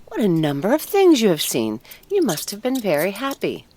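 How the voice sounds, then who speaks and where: A woman's voice: medium pitch, huge pitch swings, moderate pace, background noise, voice pushed up. A woman voicing tiny, curious storybook mice with eager, wide-eyed questioning. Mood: admiring. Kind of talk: storybook character voice.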